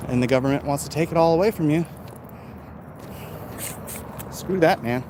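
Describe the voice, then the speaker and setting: Opinionated American man with a higher-pitched voice. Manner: opinionated, emphatic, colloquial. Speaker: live-stream vlogger reading and answering viewer comments. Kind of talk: casual off-the-cuff opinion rant. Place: outdoors, in his backyard. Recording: noisy outdoor urban ambience, traffic sounds.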